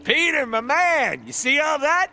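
An actor playing a cocky, foul-mouthed antihero mercenary in a video game, brash and sarcastic. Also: male voice, extremely high pitch, very animated, unhurried, sharp tone, heavily acted. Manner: brash, sarcastic, exaggerated swooping delivery. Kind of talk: video game cutscene acting. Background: music.

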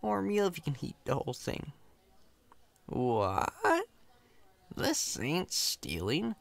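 A man's voice: on the high side, big pitch swings, slow pace, vocal fry, exaggerated voice. A man playing a chatty, cocky, friendly company employee in an ASMR roleplay, casual, reassuring and playfully boastful. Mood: easygoing, friendly.

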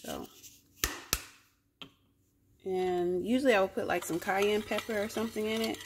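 A woman's voice: medium pitch, steady tone, normal speed, noisy background. Chatty woman vlogging in an echoey home. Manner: chatty, laughs often, talks to viewers directly. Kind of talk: casual cook-with-me vlog. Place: home bathroom, then kitchen. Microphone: phone camera at arm's length.

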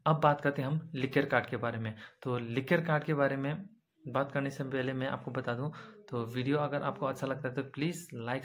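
A young man casually explaining canteen card benefits to his viewers, with frequent filler pauses, recorded on a phone camera at arm's length indoors. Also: mid-range voice. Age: young adult. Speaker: vlogger explaining army canteen cards. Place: indoor room with bright windows behind.

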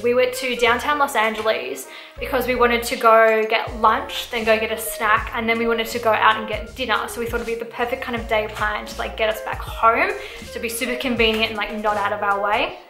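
A woman's voice: a little high, steady tone, a little fast, sharp tone, echoey room, faint music behind. A young woman co-hosting a casual vlog, chatting with frequent "like" fillers. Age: young adult. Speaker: vlog co-host. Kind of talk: casual chat. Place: bright indoor room, seated.

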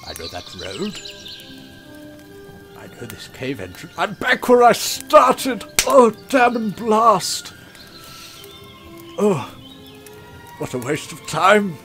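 A man's voice: sits high, very animated, takes it slowly, pauses often, music in the background, heavily acted. A man playing a pompous, hapless knight in a comic fantasy audio drama, melodramatic and given to talking to himself. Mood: searching, melodramatic. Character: pompous, hapless male knight, self-important and easily dejected.

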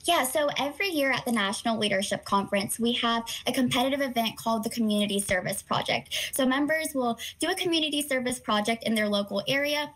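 A young woman whose first language is Korean answers news interview questions earnestly, with frequent pauses and fillers. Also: on the high side, expressive, a bit bright, low-quality recording. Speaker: student organization president, guest.

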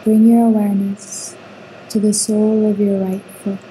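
A woman guiding a sleep meditation with soothing, unhurried instructions and long pauses between phrases. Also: mid-range voice, little pitch movement, mellow, slight background noise, poor audio. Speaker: meditation guide.

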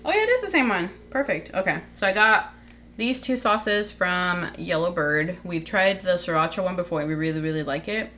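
Chatty young woman with a lowish voice. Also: animated, normal speed, sharp tone, a little hiss or hum. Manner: chatty, many likes and ums, holds up items to camera. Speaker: vlogger. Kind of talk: casual grocery haul show-and-tell. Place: home kitchen, indoors.

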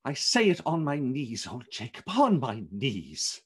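A man's voice: mid-range voice, wildly swooping pitch, measured pace, slightly rough, full character voice. A man voicing a reformed old miser, fervently grateful and exclamatory, with a broken voice in a storybook reading. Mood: fervent joy.

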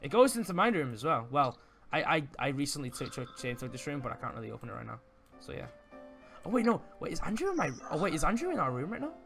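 A man improvising a casual Minecraft roleplay, excitable and often repeating words in stammered bursts. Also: on the high side, quick pace, creaky, soft background music. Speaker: roleplay player.

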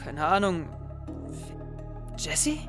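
A man's voice: high voice, very animated, very slow, music in the background, exaggerated voice. A young man playing a brooding, suspicious teenage schoolboy in a German audio drama, with a hesitant, introspective manner. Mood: wary. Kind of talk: naturalistic audio drama dialogue and monologue.

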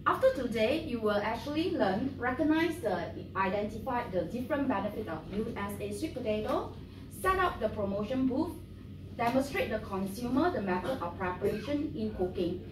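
Brief: woman trainer, friendly and halting, heard from across a noisy room. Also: fairly high pitch, lively intonation. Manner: friendly, halting with many fillers. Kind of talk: classroom training talk. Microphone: far camera. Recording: roomy, distant, noisy.

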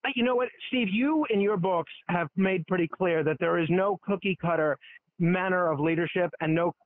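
A man's voice: high-pitched, no echo. Wisecracking man on a tinny phone line. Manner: wisecracking, quick. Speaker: radio host calling in as guest. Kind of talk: phone-in interview.

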